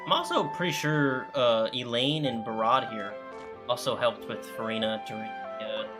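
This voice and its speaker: A woman's voice: a bass voice, moderate pace. A woman hosting a gameplay video with casual, conversational commentary. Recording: game music behind.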